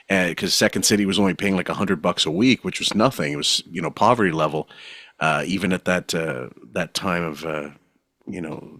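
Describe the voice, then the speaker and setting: A man's voice: low voice, bright, forceful tone, no echo, studio quality. A man hosting a podcast, talking casually and rambling, with many fillers and laughs. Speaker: podcast host.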